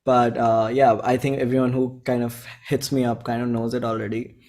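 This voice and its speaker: A man's voice: mid-range voice, little pitch movement, moderate pace, darkish tone. Young Indian-accented man, casual and rambling. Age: 20s. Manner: informal, rambling, repeats phrases, acts out bits of dialogue. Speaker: musician, interview guest. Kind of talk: casual conversational interview.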